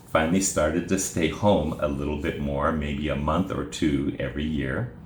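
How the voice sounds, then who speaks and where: A middle-aged man from Japan, a native Japanese speaker, giving an informal spoken presentation in a measured, plain way with frequent "uh" pauses. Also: rumbling low. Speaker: presenter telling his life story. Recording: roomy echo, faint background hiss.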